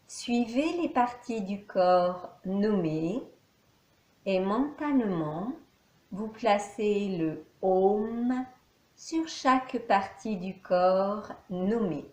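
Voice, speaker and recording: A woman teaching yoga nidra, guiding a meditation calmly in short, measured phrases with pauses between them. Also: medium pitch. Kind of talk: guided meditation, calm instruction. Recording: echoey, reverberant room.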